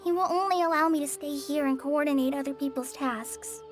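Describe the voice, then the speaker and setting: A woman voicing a gentle, earnest young forest watcher in a video game, polite and subdued. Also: sits high, brisk, loud music behind the voice, lightly acted. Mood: downcast.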